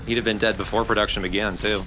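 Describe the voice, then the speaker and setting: A man's voice: fairly low pitch, little pitch movement, fast talker, background noise. A man giving casual, wry, conversational co-commentary on a video game. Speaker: let's play co-commentator.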